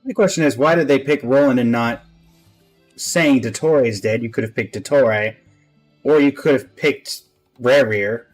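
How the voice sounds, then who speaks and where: A man of medium pitch comments casually on a video game. He talks to himself as he plays. Faint music runs behind his voice. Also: normal speed. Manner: talks to himself while playing. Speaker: game streamer.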